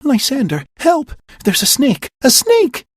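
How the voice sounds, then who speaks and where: High shrill young woman, breathy, fierce, wild pitch leaps, voiced by a man. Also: wildly swooping pitch, a little slow, dry recording, studio quality, exaggerated voice. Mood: terrified. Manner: high, shrill cries of alarm and accusation.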